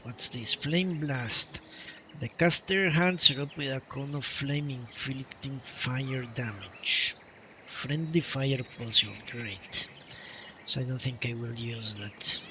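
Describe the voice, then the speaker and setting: A man's voice: medium pitch, sharp tone, slight background noise. A man live streaming a game, casually thinking aloud and muttering to himself as he reads menus. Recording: clear game music behind voice. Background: video game music.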